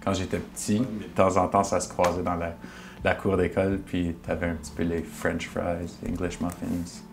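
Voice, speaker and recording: Casual man from Manitoba, very deep voice, guitar clearly heard behind. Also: flat delivery, normal speed. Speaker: artist. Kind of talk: documentary interview.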